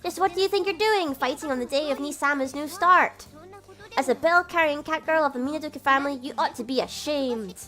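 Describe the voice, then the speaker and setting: A woman's voice: high-pitched, a little fast, sharp tone, soft background music. A woman voicing a self-assured, rich little sister in a visual novel, formal and declarative. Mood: scolding. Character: grand, doting rich little sister of the bakery owner.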